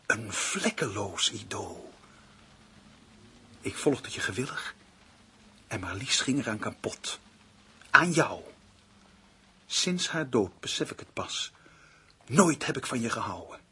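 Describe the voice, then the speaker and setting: A man's voice: lowish voice, lively intonation, slow, pauses often, breathy edge, full character voice. An actor playing a bitter, vengeful male writer in a Dutch radio play, with theatrical, intense delivery. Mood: anguished, bitter. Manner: theatrical, intense monologue swinging from hushed to shouting. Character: bitter, vengeful male writer turned murderous, domineered by partner. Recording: noisy old radio play recording.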